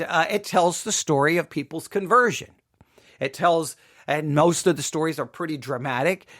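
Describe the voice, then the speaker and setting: High-voiced man telling a personal story. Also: fairly bright tone. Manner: emphatic, repeats phrases for effect. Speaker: podcast host.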